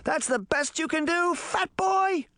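A man voicing a cocky, quick-tempered fairy boy villain in an audiobook, defiant and sarcastic, prone to shouting and growling. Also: mid-range voice, sing-song, dry recording. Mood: taunting.

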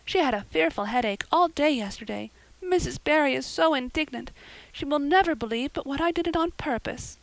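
A woman reading an audiobook, voicing a dramatic, tearful orphan girl who speaks in high-flown, breathless wails. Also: lively intonation, moderate pace, no room sound, rough recording. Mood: pleading, woeful. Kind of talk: audiobook character voice.

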